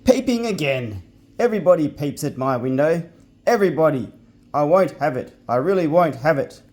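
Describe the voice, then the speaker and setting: A hot-tempered little male pixie bursts out in indignant shouts, his voice pushed up and loud, as a man reading a storybook puts him on. The tone stays dark and mellow underneath. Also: mid-range voice, measured pace, voice pushed up, close to an everyday voice. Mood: furious. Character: angry little male pixie, quick to rage.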